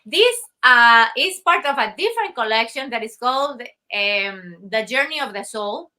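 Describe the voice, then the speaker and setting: A middle-aged woman from Costa Rica, a guest artist speaking thoughtfully in an interview, with many pauses and restarts. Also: a little high, lively intonation, pushed and bright. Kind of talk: interview conversation.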